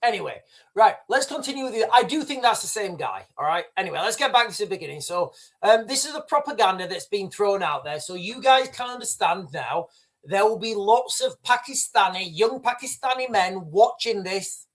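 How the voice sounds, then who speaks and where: A man's voice: high-pitched, lively intonation, moderate pace, fairly bright tone. Rambling man hosting a livestream. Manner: rambling, talks straight to viewers as "guys", frequent restarts and "right". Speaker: livestream host. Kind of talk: live commentary over video clips.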